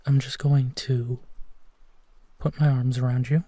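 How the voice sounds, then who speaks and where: Male actor as tender protective werewolf boyfriend for comfort audio; unhurried, mellow, close and dry. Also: medium pitch, level tone, no echo, natural voice. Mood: tender, willing. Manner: soft, soothing, hesitant pauses, often drops to whispering. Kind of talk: intimate roleplay audio, sleep-aid.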